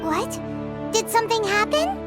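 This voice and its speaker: Tiny excitable game sidekick: squeaky, extremely high, swooping childlike voice. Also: female voice, moderate pace, music in the background. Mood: surprised. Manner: refers to self in third person. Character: tiny childlike female floating companion, excitable and chatty. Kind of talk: anime game voice acting.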